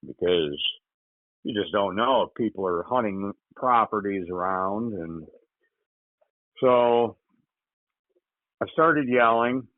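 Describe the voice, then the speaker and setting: A man from Michigan, an interview guest, recounting a hunting accident in casual, halting speech with frequent pauses. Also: fairly low pitch, expressive, no echo. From Michigan, USA. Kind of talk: casual spoken recollection. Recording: tinny phone line, dry.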